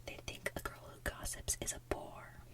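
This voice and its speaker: A woman voicing a scheming, coaxing sea witch villainess from an animated musical, in theatrical, drawn-out soft singing and whispered lines. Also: natural voice. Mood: coaxing, sly.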